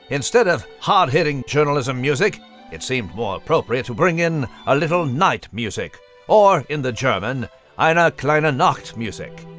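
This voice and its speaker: Pompous cartoon newsreader, fussy and wordy, highly animated pitch, music underneath. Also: male voice. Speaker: news segment presenter. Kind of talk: mock news commentary.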